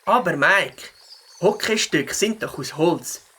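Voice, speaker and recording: A husky, fairly low voice of a woman as a young beaver in a kids' audio play, with a Swiss German accent. The intonation swings freely, and the beaver sounds practical and sure of itself. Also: animated, slow, pushed and bright, full character voice. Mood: proud, confident. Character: young beaver, helpful, confident, practical bike rider. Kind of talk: children's audio play acting.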